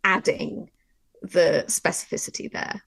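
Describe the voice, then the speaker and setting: A woman's voice: unhurried, fairly bright tone, no echo. A woman teaching a workshop in a conversational way, emphatic and given to repeating points and acting out examples. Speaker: writer and workshop co-presenter.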